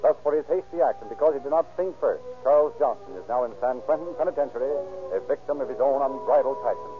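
A man's voice: mid-range voice, fast talker, music in the background. An American man narrating and announcing in a formal, declamatory 1930s radio style. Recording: tinny, narrow 1930s radio recording.

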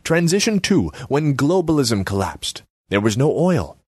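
Man voicing haughty young female artist, high, preachy, dry close sound. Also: big pitch swings, unhurried, a little mellow, slightly rough, no room sound. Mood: haughty lecturing. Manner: scornful, lecturing, self-important.

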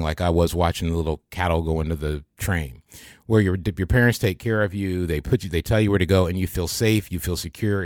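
Casting: older American male podcast host, deep register, dry recording, thoughtful and halting religious talk to a small group. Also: no room sound. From American Midwest.

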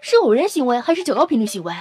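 A woman's voice: on the high side, big pitch swings, very fast, a little mellow. A woman playing a nosy, coolly curious vampire scientist in an audio drama, blunt and inquisitive. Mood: eager curiosity. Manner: inquisitive, blunt, matter-of-fact.

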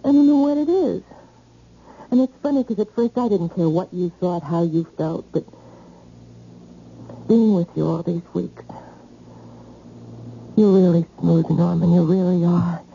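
A woman playing a brash, insecure, slangy young woman with halting repetitions, in old-time radio drama style on a muffled recording. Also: lowish voice, gravelly, slight background noise. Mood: apologetic, earnest. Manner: slangy, halting, repeats herself.

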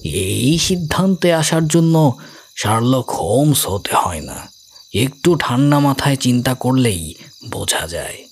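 A man playing a brilliant, calmly amused middle-aged sleuth who explains his reasoning in a measured way, in an audio story. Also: mid-range voice, a little hiss or hum.

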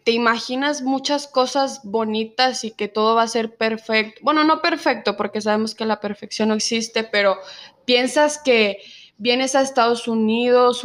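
A nineteen-year-old woman from Mexico with a Mexican Spanish accent, telling her own story in an interview and pausing often on fillers. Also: fairly high pitch, a bit bright. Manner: reflective, many filler pauses. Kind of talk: personal testimony in an interview.

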